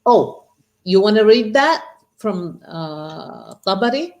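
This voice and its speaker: A woman co-hosting a casual talk, reading translations aloud slowly and chatting with quick agreement. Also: mid-range voice, expressive, a little mellow. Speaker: co-host.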